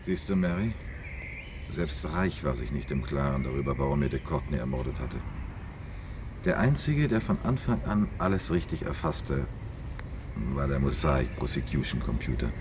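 An actor playing an assured investigator in a radio play, explaining and questioning with patient insistence. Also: male voice, very deep voice, animated, moderate pace. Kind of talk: German radio play acting. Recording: dull vintage radio sound, faint noise.

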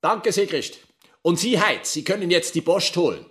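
A curt bank manager in a radio play. His voice is high and husky, and he is formal and brisk as he gives orders. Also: expressive, a bit bright, heavily acted. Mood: businesslike. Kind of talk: German radio drama acting.

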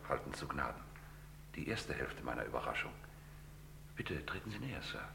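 Very deep, gravelly gentleman schemer. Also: exaggerated voice. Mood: smooth, courteous. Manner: ceremoniously polite, measured, deadpan. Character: suave, scheming gentleman dealer, formal and unruffled. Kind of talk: German radio crime drama acting, mid-20th century. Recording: noisy old radio play recording.

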